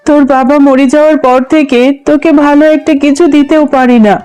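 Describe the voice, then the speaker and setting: Woman as a high-voiced sorrowful cartoon mother. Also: steady tone, a little fast, mellow, natural voice, low-quality recording. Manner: lamenting, tender. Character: poor sorrowful widowed mother, gentle and self-pitying. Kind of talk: children's cartoon dialogue.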